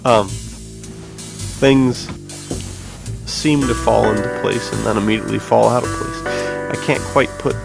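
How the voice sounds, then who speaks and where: A man's voice: on the low side, steady tone, takes it slowly, creaky, long pauses, music in the background. A man co-hosting a podcast, talking casually in a laid-back, jokey way with informal slang. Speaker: podcast co-host. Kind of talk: casual film discussion.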